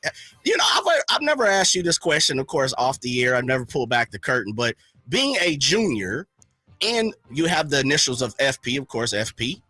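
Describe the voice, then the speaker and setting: A man's voice: medium pitch, very animated, fairly quick, pushed and bright, slightly rough, no echo, soft background music, rough recording. A man co-hosting a sports radio show, talking in a hype, slangy, playful way. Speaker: radio co-host.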